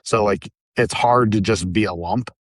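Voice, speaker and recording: A man's voice: fairly low pitch, normal speed, no room sound, clean studio sound. A man co-hosting a podcast in casual chat, easygoing and chatty. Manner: easygoing, chatty, many fillers like "like" and "dude". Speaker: podcast co-host.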